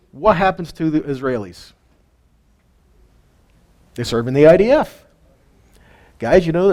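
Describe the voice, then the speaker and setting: Guy leading a casual bible study, slightly higher voice, keeps tossing questions at the group. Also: darkish tone. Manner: conversational, asks the audience questions, chuckles between points. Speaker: teacher of a weekly Torah study. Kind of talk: informal Bible teaching to a live group.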